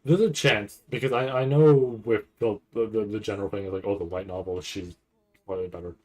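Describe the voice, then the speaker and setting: A man giving casual live reaction commentary, nervous and rambling, halting and repeating his words as he goes. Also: on the low side, steady tone, a little mellow, rough recording. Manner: anxious, nervous anticipation. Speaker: anime commentator reacting to a livestream event.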